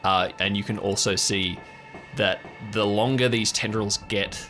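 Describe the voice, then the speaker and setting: Low-voiced man game-mastering. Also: fairly even intonation, unhurried. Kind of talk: improvised narration of a tabletop audio drama. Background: music.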